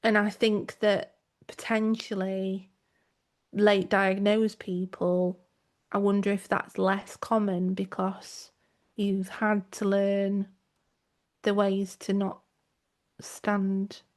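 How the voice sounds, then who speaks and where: A woman's voice: medium pitch, level tone, slow, pauses often, darkish tone. A woman, co-hosting a casual podcast and telling stories in a reflective way, often with tag questions. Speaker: podcast co-host.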